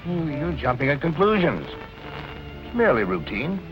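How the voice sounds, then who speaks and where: Lively-pitched noir police captain. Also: male voice, normal speed, rough recording. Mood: evasive. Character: probing, evasive police captain in film noir. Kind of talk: 1940s film noir acting. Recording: old film soundtrack with music behind.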